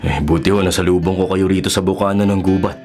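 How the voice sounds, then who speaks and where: A man's voice: sits low, fairly even intonation, fast, darkish tone, soft background music, natural voice. A man playing an upright, resolute forest ranger in a Filipino radio drama, earnest and polite, with a Filipino accent. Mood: respectful concern.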